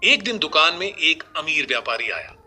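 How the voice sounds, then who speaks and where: A man narrating a scripted moral story as a storyteller, in a measured delivery. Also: on the high side, sing-song, sharp tone.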